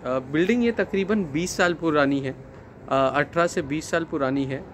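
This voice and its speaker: A man in his thirties giving a casual walkthrough of an apartment, talking slowly and hesitantly with many fillers, filmed on a handheld phone. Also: fairly high pitch, a little hiss or hum. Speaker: property tour guide. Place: terrace and empty apartment rooms outdoors and indoors. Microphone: phone camera held at arm's length.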